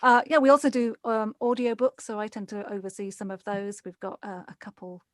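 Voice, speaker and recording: A woman's voice: mid-range voice, flat delivery, brisk, no echo, clean studio sound. A woman speaking as a voice actor and producer guest in a casual panel interview.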